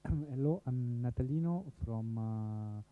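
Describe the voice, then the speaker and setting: A man's voice: mid-range voice, measured pace. A man from Italy who works in international cooperation, introducing himself hesitantly. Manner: hesitant, many fillers. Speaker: regional cooperation officer. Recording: phone-like thin audio.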